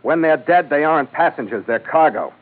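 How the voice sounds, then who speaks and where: A man's voice: fairly high pitch, expressive, fairly quick. An American man playing a weary, sardonic ship master in a 1940s radio adventure, with dry, clipped, hard-boiled delivery. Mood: irritated, sardonic. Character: weary, sardonic male ship master narrating his voyage log. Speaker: narrator and lead actor. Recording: muffled vintage radio broadcast, loud music.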